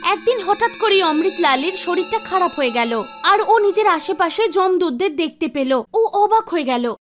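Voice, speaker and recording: Very high-pitched woman narrating a story. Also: expressive, normal speed, over music. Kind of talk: scripted cartoon story narration.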